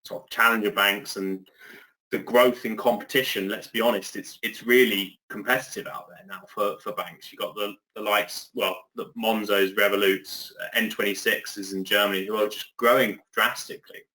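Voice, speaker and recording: A man's voice: fairly low pitch, fairly even intonation, moderate pace, pushed and bright, rough recording. An English man speaking as a guest on a webinar, chatty and loose, with many fillers and restarts, on a video call. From England. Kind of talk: conversational webinar discussion.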